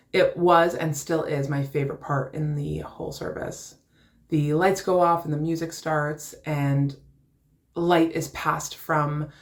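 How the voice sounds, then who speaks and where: A young adult woman giving a personal reflection for church, prepared but conversational. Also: low-pitched.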